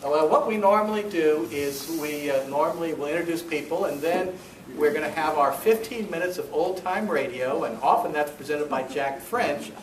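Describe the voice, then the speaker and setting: A man speaking as club president, giving an informal welcome and announcements in a halting, rambling, self-correcting way. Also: a little high. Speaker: club president hosting a meeting. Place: meeting room, recorded from a distance. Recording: roomy, distant mic, faint noise.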